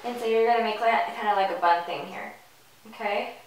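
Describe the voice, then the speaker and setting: A woman's voice: medium pitch, a little fast, far from the mic. A woman giving a casual, chatty step-by-step hairstyling tutorial as she demonstrates. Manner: chatty, informal. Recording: bright roomy echo, off the mic.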